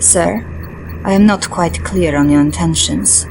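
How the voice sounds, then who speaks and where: An actress playing a loyal, composed Soviet intelligence lieutenant with a Russian accent, briefing dutifully in a game cutscene. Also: female voice, fairly low pitch, measured pace, over music.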